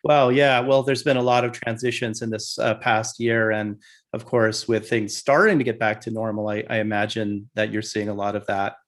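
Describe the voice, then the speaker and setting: A man's voice: on the low side, little pitch movement, normal speed. A man hosting an interview, asking the guest conversational, probing questions. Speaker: interview host.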